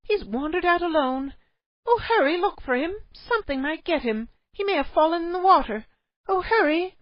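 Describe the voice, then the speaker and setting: A woman voicing a fussy, scolding cave housewife who talks in shrill exclamations. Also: very animated, normal speed, dry recording, lo-fi sound. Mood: frantic.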